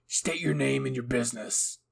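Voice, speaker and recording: A man's voice: mid-range voice, moderate pace, vocal fry, breathy edge. A man voicing a stern, grumpy military captain with curt, cold replies in an audio fan fiction story.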